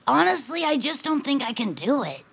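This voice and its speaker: A woman voicing an anxious, self-doubting creature in family audio fiction, hesitant and grumbling, with a gravelly, husky voice. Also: high voice, big pitch swings, normal speed, a bit bright, full character voice. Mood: reluctant, worried.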